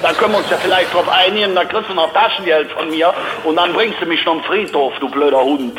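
A man playing a gruff, foul-mouthed master roofer on a comic phone call, blunt, impatient, sarcastic and insulting. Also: fairly high pitch, fast talker, background noise, natural voice. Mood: sarcastic, scornful.

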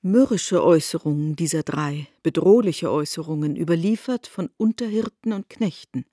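A woman performing as a composed narrator in a literary radio drama, speaking in a measured, formal and deliberate way. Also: sits low, dark tone, no echo, studio quality.

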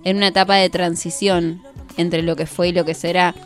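A woman's voice: lowish voice, little pitch movement, moderate pace. An Argentine woman in her twenties hosting a radio show, talking conversationally with poetic flourishes. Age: 20s. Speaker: radio host. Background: music.

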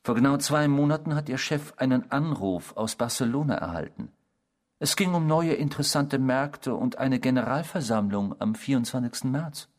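An actor in a German radio drama playing a mysterious, smug, needling man who claims to be a murderer, with a husky voice. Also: mid-range voice, lively intonation, moderate pace, a little mellow, natural voice. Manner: needling, sardonic, self-assured.

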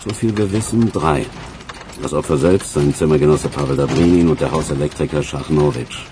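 Sardonic detective, extremely low voice, dry delivery, radio drama, noisy ambience behind. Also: male voice, fairly quick. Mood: dry, wry. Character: wry, provincial male police investigator. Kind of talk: radio play acting.

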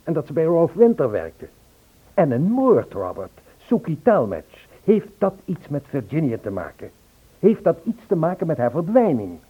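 Husky, anxious man, tinny old recording. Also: fairly high pitch, huge pitch swings, moderate pace, exaggerated voice. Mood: urgent pleading. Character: anxious, insistent man searching for a vanished woman. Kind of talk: Dutch radio play dialogue and recounting. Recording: husky, phone-like old radio play recording.

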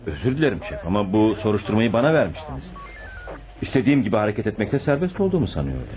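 An actor playing an earnest, stubborn junior police detective in a radio play, deferential yet insistent. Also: male voice, lowish voice, very animated, rapid-fire, darkish tone, faint music behind. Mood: apologetic, reporting. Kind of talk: radio theatre acting.

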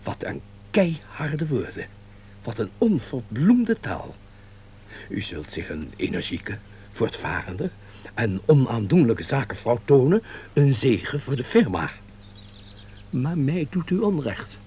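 An actor playing a sly, fawning, crooked bookkeeper in a radio play, with formal, unctuous, theatrical delivery. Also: male voice, medium pitch, very animated, slow, dark tone. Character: sly, fawning, embezzling bookkeeper, secret lover. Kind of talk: Dutch radio play acting. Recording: dull, noisy radio play recording with hum.

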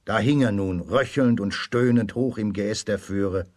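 A man with a husky voice narrating a children's story with dramatic emphasis. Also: on the low side, measured pace, a little mellow, no room sound. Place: dry studio.

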